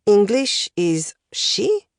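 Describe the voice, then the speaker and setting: Lady doing a shy student kid, earnest n unsure, kinda halting, close dry audiobook. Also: medium pitch, big pitch swings, no echo, voice pushed up, exaggerated voice. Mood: puzzled, halting. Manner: halting, questioning, broken English. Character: hesitant, earnest young male Romanian language student.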